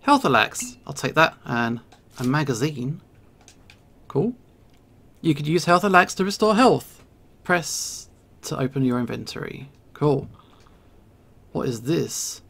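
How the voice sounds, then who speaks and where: A man giving casual let's play commentary, thinking aloud in short, halting remarks. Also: fairly high pitch, animated, gravelly, a bit bright, breathy edge. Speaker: video game player and host.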